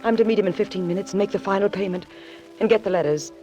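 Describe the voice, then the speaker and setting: A woman's voice: mid-range voice, racing, mellow, close to an everyday voice. An actress playing a cool, secretive and anxious woman in trouble in a 1940s radio drama. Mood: tense. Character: anxious, cool, secretive woman being blackmailed, pleading for help. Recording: dull vintage 1940s radio recording, faint music.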